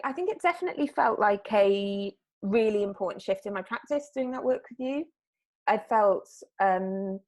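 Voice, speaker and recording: A woman talks about her work in a loose, conversational way. She stops and restarts often and leans on fillers as she goes. Her voice sits at an ordinary middle pitch. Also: a little mellow. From South Wales. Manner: hesitant, lots of fillers and restarts. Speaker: artist. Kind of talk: casual interview chat.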